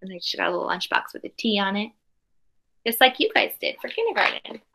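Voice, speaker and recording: A woman's voice: medium pitch, very animated, quick pace, creaky, bright, forceful tone. A woman reading a picture book aloud to young children like a teacher, expressively, with explanatory asides and questions.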